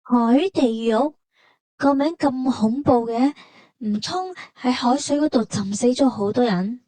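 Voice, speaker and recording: A woman with a Hong Kong Cantonese accent narrates a travel vlog. Her voice is fairly high and mellow, conversational as she explains things, and the recording is dry. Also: measured pace, no room sound, poor audio. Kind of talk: scripted travel narration and dialogue.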